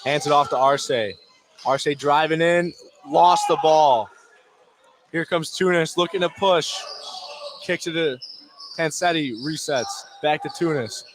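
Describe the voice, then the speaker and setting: A man doing live play-by-play radio commentary of a high school basketball game in short, clipped phrases. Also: unhurried, background noise. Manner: clipped phrases calling action as it unfolds. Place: indoor basketball gym, courtside.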